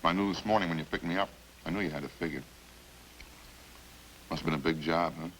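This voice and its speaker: An actor in a 1950s radio crime drama playing a chatty killer who confesses in a casual, folksy, matter-of-fact way. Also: male voice, very deep voice, fast, a bit bright, rough recording. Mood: casual, rambling. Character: chatty, hungry male killer calmly confessing over supper. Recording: hissy old-time radio recording.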